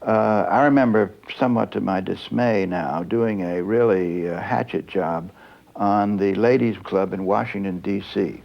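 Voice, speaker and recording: A man's voice: fairly low pitch, a little slow, gravelly, highs cut off. A man reflecting in a documentary interview, articulate and hesitant as he picks his words. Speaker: photojournalist.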